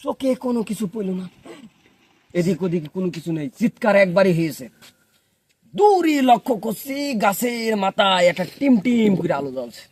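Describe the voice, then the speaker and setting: A man's voice: high voice, lively intonation, normal speed, breathy edge. A man tells a comic tall tale to listeners, dramatically, repeating phrases for suspense. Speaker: storyteller telling a tall tale. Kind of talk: comic yarn-spinning to listeners.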